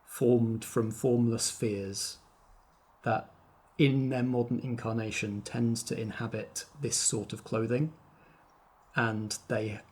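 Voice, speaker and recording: A man narrating a tabletop roleplaying game as game master, in a measured, descriptive storytelling manner. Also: mid-range voice, steady tone, darkish tone, slightly rough. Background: faint background music.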